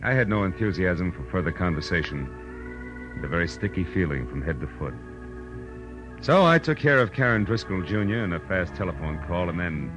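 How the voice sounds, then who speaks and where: An actor playing a wry, world-weary private detective in 1940s radio noir style, with a dry, hard-boiled manner. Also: male voice, low-pitched. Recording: muffled old radio recording, faint music.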